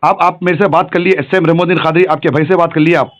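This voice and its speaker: A man's voice: a little high, fairly even intonation, quick pace. A middle-aged man with a Hyderabadi accent talking on a phone call, insistent and repetitive, addressing the listener respectfully. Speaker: local political activist.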